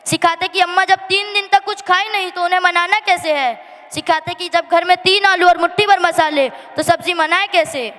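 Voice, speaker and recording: A high female voice recites a dramatic poetic monologue. The delivery is plaintive and emphatic, full of feeling, and rings through a hall with long reverb. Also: fairly even intonation, fairly bright tone. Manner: emotional, plaintive, emphatic delivery with drawn-out refrains. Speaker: monologue performer at a school annual day. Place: school annual day stage in a hall.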